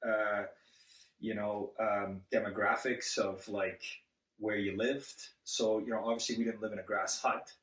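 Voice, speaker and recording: A man born in Zambia, a guest on an interview, speaking earnestly and haltingly with many restarts. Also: fairly low pitch, level tone, fairly bright tone, distant mic. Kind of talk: casual interview. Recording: echoey room, set back from the mic.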